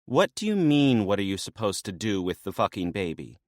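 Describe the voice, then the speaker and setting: A man voicing a sharp-tongued, bossy female maenad with a dry, mocking manner. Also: on the low side, sing-song, normal speed, no room sound, pitched above the performer's own voice. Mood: sarcastic.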